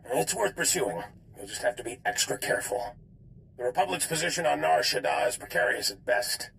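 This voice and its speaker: An actor playing a pragmatic, earnest intelligence commander with a gravelly voice, briefing agents in a video game. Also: male voice, on the high side, lively intonation, a little fast, fairly bright tone, slight background noise, full character voice, rough recording. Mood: grave urgency. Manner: earnest, businesslike. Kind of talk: dramatic video game acting.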